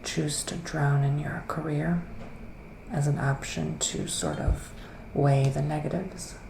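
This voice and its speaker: Gentle male psychic witch, acted by a man, soft ASMR delivery drifting into whispers, gravelly fry, roomy noisy recording. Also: fairly high pitch, fairly even intonation. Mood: soothing, assured. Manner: hesitant, drawn-out phrasing with pauses. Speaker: ASMR performer. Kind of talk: soft-spoken ASMR roleplay, partly whispered. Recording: roomy echo, clear background noise.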